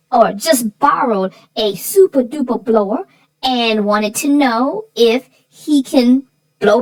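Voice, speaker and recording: A woman voicing a sassy, feisty female co-host in chatty banter, with a folksy, emphatic, drawn-out way of telling things. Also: sits high, heavily acted, rough recording. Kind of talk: scripted chatty banter about a children's book.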